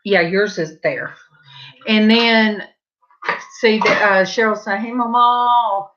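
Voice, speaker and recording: A woman's voice: medium pitch, fairly even intonation, takes it slowly, fairly bright tone, highs cut off. A middle-aged woman with a Southern US accent, chatting casually at home and rambling to her viewers while she paints. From Southern California. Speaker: vlogger. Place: home, indoors.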